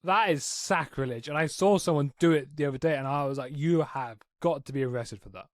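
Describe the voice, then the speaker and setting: A man's voice: on the high side, fairly quick. A young man with a British accent in casual podcast chat, questioning and arguing his points. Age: young adult. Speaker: podcast co-host.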